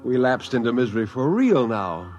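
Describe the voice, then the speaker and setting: A wisecracking spaceman played by a man in an old radio drama, colloquial and wry. He has a mid-pitched voice with some music behind it. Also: sing-song, moderate pace, darkish tone, muffled recording, close to an everyday voice. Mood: weary, narrating. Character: wry, streetwise spaceman telling his own tale. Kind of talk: 1950s radio drama narration and dialogue.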